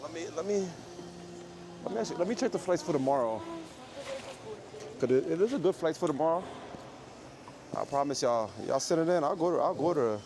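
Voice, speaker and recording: A man with a low voice chatting casually and rambling to his livestream viewers as he walks through an airport terminal. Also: lively intonation, fairly quick, long pauses. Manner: rambling, joking, talks quietly. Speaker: IRL livestreamer. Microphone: phone or camera carried while walking. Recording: noisy phone livestream audio.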